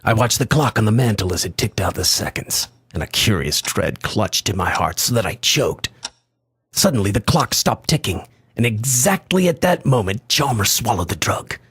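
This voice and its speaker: Man acting an anxious, husky, low-voiced friend. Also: lively intonation, moderate pace, a bit bright, exaggerated voice. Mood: tense narration. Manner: earnest, formal, emphatic. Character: anxious, disapproving male friend and narrator of horror tale. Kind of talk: radio drama acting and narration.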